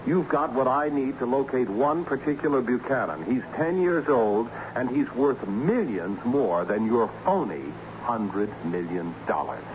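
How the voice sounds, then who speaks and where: An actor playing a harried, ironic assistant principal in an old-time radio drama, theatrical and patiently explanatory. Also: male voice, medium pitch, expressive, unhurried, few pauses, close to an everyday voice. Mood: firm, urgent. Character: harried, excitable male school assistant principal with ironic humour. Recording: dull, noisy old radio recording.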